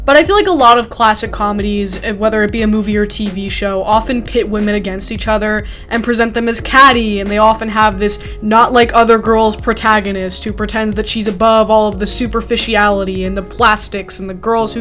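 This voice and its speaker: A woman's voice: a little high, a bit bright. Opinionated young woman, faint music behind. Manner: opinionated, sardonic asides. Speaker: video essayist host. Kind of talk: scripted commentary video.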